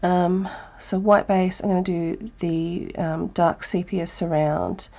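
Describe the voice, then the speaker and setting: A woman with an Australian accent, teaching a relaxed live drawing lesson while thinking aloud, her voice croaky and breathy from a chest cold. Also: lowish voice, slow. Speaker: art teacher.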